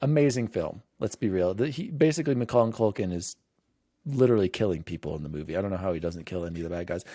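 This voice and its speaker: A man hosting a podcast, chatting casually in an animated, emphatic way. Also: low-pitched, quick pace, husky, no room sound, clean studio sound. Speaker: podcast host. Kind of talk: casual chat.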